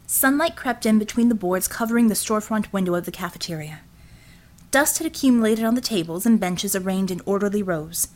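A woman reading scripted fiction as an audiobook narrator, in an expressive storytelling style. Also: medium pitch, fairly quick.

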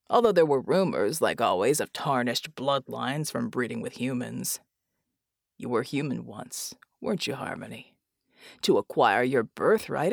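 A woman voicing a world-weary male mercenary who talks bluntly in a low, gravelly drawl. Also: no room sound, clean studio sound, full character voice.

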